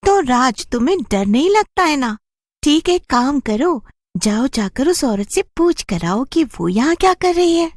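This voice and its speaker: A woman's voice: sits high, sing-song, measured pace, no echo. A woman voicing a playful, adventurous young village woman with a bubbly, teasing manner.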